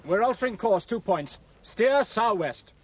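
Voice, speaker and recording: An actor playing a stiff, secretive British first lieutenant with a formal, clipped delivery in a 1950s radio drama. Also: male voice, sits high, animated, unhurried, husky, exaggerated voice. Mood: curt, formal. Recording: dull, noisy old radio recording.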